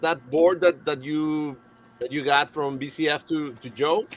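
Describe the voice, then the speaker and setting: A man in casual show-and-tell chat, good-humoured, halting and repeating his words as he explains. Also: fairly high pitch, steady tone, a bit bright, poor audio. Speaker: hobbyist guest on the stream. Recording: faint noise, room ambience.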